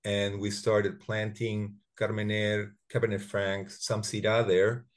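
A low-voiced man winemaker explains things slowly and with hesitation, stopping often with "uh". He is heard over a video call. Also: completely flat delivery. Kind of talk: casual panel chat.

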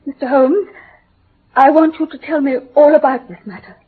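A woman's voice: high-pitched, fairly even intonation, moderate pace, close to an everyday voice. An actress playing an anxious, proud upper-class English lady in radio drama, formal and imploring while holding back emotion. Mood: anxious, pleading. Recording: muffled old radio broadcast.

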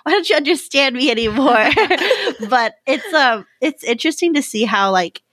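A woman's voice: sits high, expressive, moderate pace, a bit bright, dry recording. An American woman in her late twenties chatting casually as a podcast guest, informal and giggly, often saying "like". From California, United States. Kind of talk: casual chat.

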